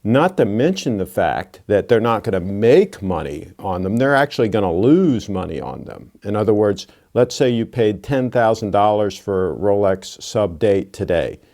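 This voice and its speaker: A sixty-year-old man talks straight to his viewers in a blunt, lecturing way, tossing in folksy asides. His voice sits low and sounds dark and mellow. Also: animated, normal speed, hardly any pauses. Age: 60s. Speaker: watch channel host. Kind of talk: casual monologue to camera.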